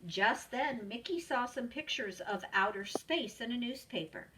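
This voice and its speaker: A woman narrates a children's storybook with expressive, teacherly delivery. Her voice is bright and very lively in pitch, heard slightly away from the mic. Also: unhurried, distant mic, a little hiss or hum, poor audio. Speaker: preschool teacher reading aloud.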